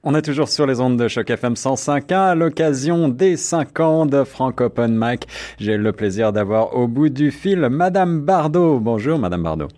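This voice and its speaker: A man's voice: medium pitch, expressive, moderate pace, warm tone. A man hosting a French-language radio interview in the studio, speaking with frequent hesitant fillers. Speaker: radio host.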